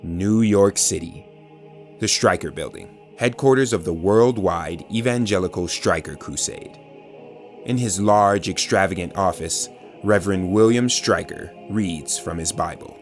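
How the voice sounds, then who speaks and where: A man narrating an audio drama as a grave storyteller, with deliberate, dramatic scripted delivery. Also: low-pitched, faint music behind. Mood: neutral, scene-setting. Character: grave, omniscient male storyteller.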